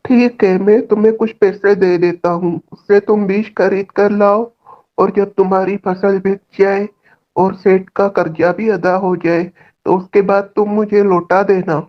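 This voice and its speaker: A woman's voice: medium pitch, fairly even intonation, a little slow, dull audio, lightly acted. A woman voicing a helpful, reassuring male villager giving friendly advice in a cartoon story. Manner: friendly, advising. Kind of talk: Hindi cartoon story acting.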